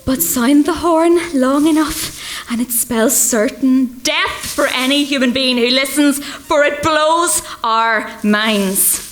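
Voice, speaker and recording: A woman's voice: sits high, slow pace, hardly any pauses. A woman playing a melodramatic old Irish fiddler in a comic radio play, with a theatrical, wailing, storytelling lilt. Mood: ominous. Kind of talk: comic radio play acting before a live audience.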